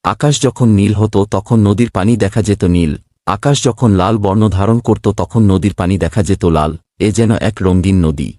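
A man's voice: on the low side, a little slow, dark tone, no echo, close to an everyday voice. A man playing a kindly schoolteacher in a children's cartoon, patient and instructive as he speaks. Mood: storytelling. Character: kindly male schoolteacher telling pupils an animal fable.

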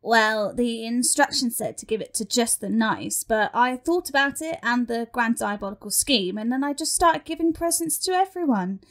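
A woman's voice: a little high, lively intonation, normal speed, few pauses, lightly acted. A woman voicing an obsequious, eager demon minion in a comic audio pantomime, with a fawning, theatrical manner.

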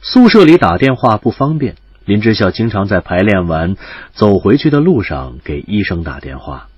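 Give a gentle romance storyteller, a man with a fairly low, husky voice. His melody swings dramatically and phrases trail off softly. It is recorded close and dry, with music underneath. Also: very animated, normal speed, no room sound, highs cut off. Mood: tender, dramatic. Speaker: narrator and actor. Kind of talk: dramatic audiobook narration.

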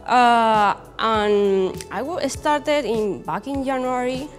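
A young woman from Spain with a Spanish accent, answering interview questions casually and haltingly as she searches for words. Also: on the high side, long reverb tail. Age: young adult. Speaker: comic creator being interviewed. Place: indoor brick-walled studio set. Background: music.

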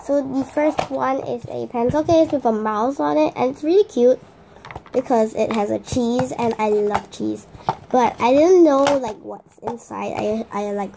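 A teenage girl with a hoarse voice, chatting casually through an unboxing vlog with lots of fillers and restarts. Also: a little high, noisy background. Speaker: vlogger.

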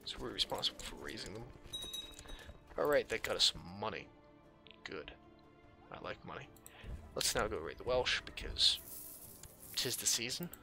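A man's voice: lowish voice, big pitch swings, moderate pace, gravelly, pauses often, pushed and bright. A man giving casual gameplay commentary in a dry, offhand way, musing aloud in a slightly scratchy voice. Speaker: gaming video host. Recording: music under the voice.

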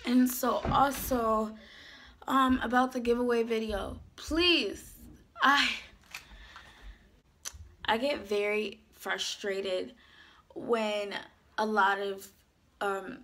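A young woman chatting to camera in a casual vlog, her voice worn from a sore throat, animated and gesturing as she talks. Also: mid-range voice. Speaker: YouTuber. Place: small indoor room, dark backdrop.

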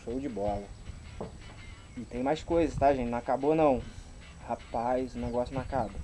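A man's voice: mid-range voice, normal speed, dark tone, a little hiss or hum. A man in his thirties with a Brazilian accent, chatting informally and slangily to camera as he unboxes things outdoors, recorded from a little way off. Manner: informal, slangy, exclaiming appreciatively. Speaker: YouTube vlogger. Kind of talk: casual unboxing chat to camera. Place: outdoors in a rural garden. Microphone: camera a couple of meters away.

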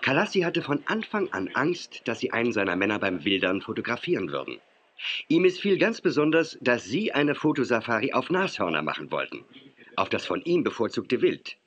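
Courteous government ranger, bright forceful voice, very lively pitch, formal, 1980s children's radio play. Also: male voice, brisk. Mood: calm, explaining. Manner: formal, explanatory. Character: self-assured, courteous male undercover government ranger. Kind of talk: 1980s children's audio drama acting.

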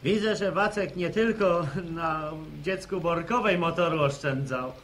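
A man's voice: fairly high pitch, measured pace, fairly bright tone, a little hiss or hum. An actor playing a sardonic young village man in an old radio drama, who needles others in a mocking way. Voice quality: mocking, with chuckles. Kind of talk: 1970s radio drama acting.

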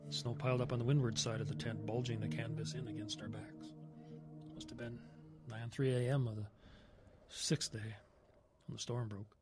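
An actor playing a weathered man solemnly recounting a grim survival tale in halting, folksy, clipped sentences for a radio horror drama. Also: mid-range voice, close to an everyday voice. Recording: roomy, clear background noise and ambience.

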